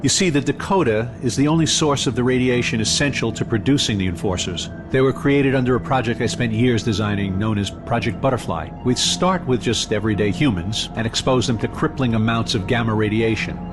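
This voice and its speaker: A man's voice: mid-range voice, brisk, few pauses, lightly acted. With music swelling behind him, a villainous scientist played by a man holds forth in audio-drama style. He is suave and condescending, explaining his work with theatrical deliberation and a fanatic's conviction. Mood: proud, lecturing.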